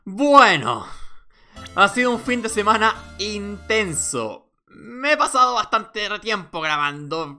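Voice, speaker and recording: A man's voice: fairly high pitch, sharp tone, smooth. A man hosting a let's play, talking casually to his viewers. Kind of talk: casual video sign-off.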